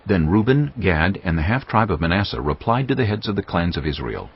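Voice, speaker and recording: A man narrating a dramatized audio Bible in a measured, solemn manner. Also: low-pitched, sing-song, husky, highs cut off.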